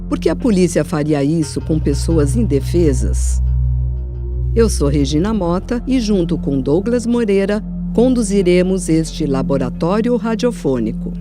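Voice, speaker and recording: A woman's voice: lowish voice, animated, measured pace, over music. A woman with a Brazilian accent reading scripted narration as presenter of a radio documentary.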